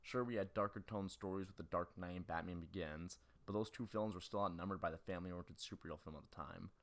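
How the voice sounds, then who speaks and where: A young man with a very low voice delivers scripted video essay narration at a quick clip. He moves briskly from point to point in the manner of a film critic. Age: early 20s.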